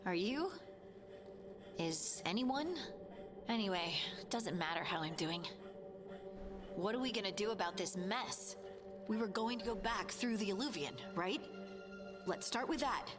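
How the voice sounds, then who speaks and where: A woman's voice: medium pitch, lively intonation, a little slow, pauses often, over music, close to an everyday voice. An actress playing a troubled but determined young female scout in a video game, speaking in an earnest, insistent way. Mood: distraught. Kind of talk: video game cutscene acting.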